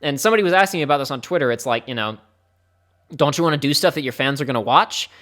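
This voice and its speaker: A young man with a fairly high-pitched voice, talking to his viewers in a rambling, candid way during a live stream. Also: expressive, very fast, fairly bright tone. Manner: rambling, candid, speeds up and trails off. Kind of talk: casual live-stream talk to chat.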